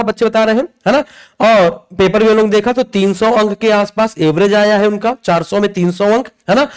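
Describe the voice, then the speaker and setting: A man explains things as a coaching teacher would. His delivery is informal and emphatic, circling back to repeat key points and checking in with short tags. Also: sits high. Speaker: exam coaching teacher presenting a video. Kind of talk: informal explanatory lesson to students.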